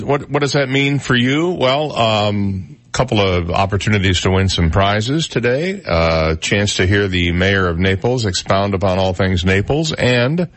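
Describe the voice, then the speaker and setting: A man's voice: on the low side, dry recording. A man hosting a casual morning radio talk show, wry and conversational with lots of filler words, sounding a little stuffed up in the nose. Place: radio studio. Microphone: studio microphone.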